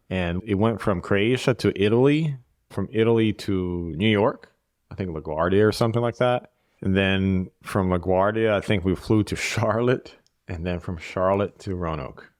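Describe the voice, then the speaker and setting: A man. He speaks in a measured, reflective way and circles back to the same phrases. He sounds dry and close, with no room sound around him. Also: low-pitched, no room sound. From Bosnia. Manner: measured, repeats key phrases. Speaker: guest. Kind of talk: reflective interview conversation.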